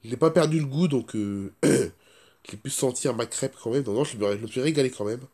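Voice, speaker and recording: A man talks casually to his vlog audience at a middling pitch. He sounds stuffy-nosed from a cold, with a sore throat he keeps clearing, and he rambles along, often repeating himself. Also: brisk, mellow. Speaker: vlogger. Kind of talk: casual first-person vlog chat.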